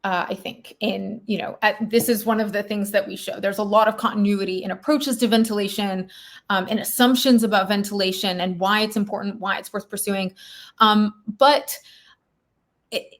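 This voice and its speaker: Woman historian chatting on a podcast, academic but conversational, thinks as she goes, medium pitch. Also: steady tone, moderate pace. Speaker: guest, historian.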